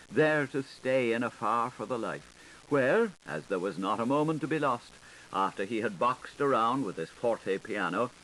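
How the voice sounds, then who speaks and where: A man's voice: medium pitch, rough recording. A man reading literary prose as an audiobook narrator, with a theatrical, varied, lilting delivery. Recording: hissy 1980s tape recording. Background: steady tape hiss.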